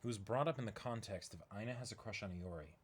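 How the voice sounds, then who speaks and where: A man's voice: on the low side, fast talker, husky. A man chatting casually as co-host of a manga reading, commenting in an analytical, conversational way.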